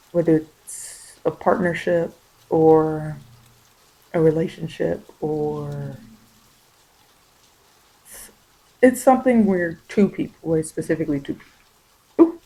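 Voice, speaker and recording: Dark, mellow-voiced woman reading tarot. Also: on the low side, rough recording. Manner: informal, repetitive, emphatic coaching. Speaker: tarot reader. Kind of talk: intuitive spoken tarot reading for ASMR.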